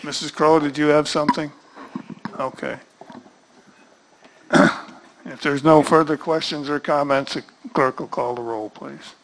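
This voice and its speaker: A man's voice: fairly high pitch, fairly even intonation, unhurried, pauses often, low-quality recording. A man chairing a county board meeting in a procedural, mildly apologetic way, in a large meeting room. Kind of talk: running a public meeting.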